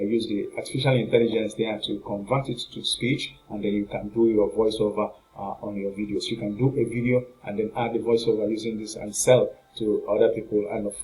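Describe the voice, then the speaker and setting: A man with a lowish, very soft-edged, mellow voice talks through an on-screen product review. His delivery is informal and halting, circling back over phrases as he rambles through each point. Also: rough recording. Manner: halting, repetitive, rambling narration with filler phrases.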